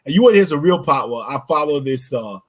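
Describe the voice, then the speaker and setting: A man's voice: mid-range voice, animated, fast talker, background noise. A man born in Jamaica and raised in the US, talking loosely in a casual interview with lots of "you know" and "right?". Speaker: podcast co-host being interviewed.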